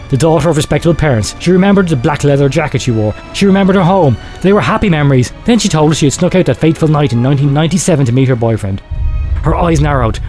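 A man reads a strange tale aloud at a fast clip, his voice a little high for a man. His delivery is theatrical, swelling for dramatic emphasis. Faint music plays underneath. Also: a little mellow. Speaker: show host reading a listener letter. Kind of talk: dramatic story reading.

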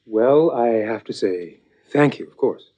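An actor playing a young lawyer son in a TV drama, cool and defiant, politely cutting. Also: male voice, mid-range voice, lively intonation, a little slow, dark tone. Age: young adult. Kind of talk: 1980s primetime TV drama acting. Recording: muffled TV soundtrack, faint music.

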